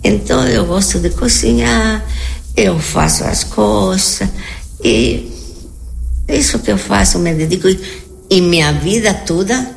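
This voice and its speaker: An elderly woman from Argentina, a native Spanish speaker with an Argentine Spanish accent, chatting in a halting, repetitive, anecdotal way as an interview guest. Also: medium pitch, creaky, a little hiss or hum. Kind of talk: casual conversational interview answers.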